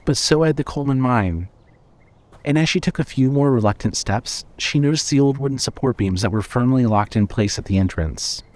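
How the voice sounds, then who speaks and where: A man narrating a scripted story in a measured, suspenseful way. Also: medium pitch, a little mellow.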